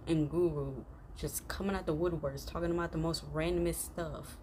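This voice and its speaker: A young woman host giving casual, slangy, halting reaction commentary that often repeats itself. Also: low-pitched, steady tone. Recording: noisy room recording.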